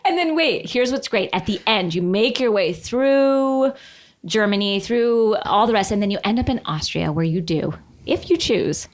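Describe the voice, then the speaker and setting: A woman's voice: mid-range voice, lively intonation, normal speed. A woman co-hosting a podcast in casual, jokey chat, telling things in a mock-earnest way. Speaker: podcast co-host.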